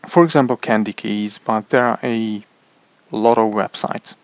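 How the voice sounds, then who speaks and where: Hungarian-accented guy, slow and halting, gravelly, kinda muffled dry recording. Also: fairly low pitch, no echo. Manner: halting, many restarts and fillers. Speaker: tech reviewer. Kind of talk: casual explainer monologue.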